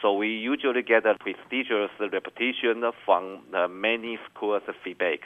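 Executive, English, earnest and measured, lowish voice over a phone-thin line. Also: male voice, fairly even intonation. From Taiwan. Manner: careful non-native English, measured and earnest. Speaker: company executive guest. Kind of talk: business interview answers. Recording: phone-like narrow band.

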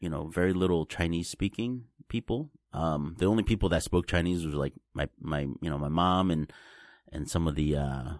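A man, raised in New after being born in Taiwan. He talks as an interview guest, explaining simply and haltingly, often repeating words as he goes. The sound is dry and close. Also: sits low, no room sound. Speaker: guest, blockchain company representative. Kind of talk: casual interview chat.

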